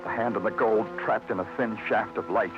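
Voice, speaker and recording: An American actor playing a terse, world-weary police detective in a 1940s radio noir, clipped and hard-boiled. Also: male voice, fairly low pitch, fairly even intonation, a little fast, lightly acted. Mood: brooding. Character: terse, world-weary New York police detective narrating his case. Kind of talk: 1940s noir radio drama, moody scripted narration. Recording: dull vintage radio recording with music behind.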